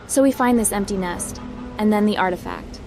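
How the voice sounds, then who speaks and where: A woman's voice: medium pitch, brisk, over music, close to an everyday voice. A woman voicing a direct, inquisitive female hacker adventurer in scripted game role-play. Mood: curious, businesslike. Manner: direct, to the point.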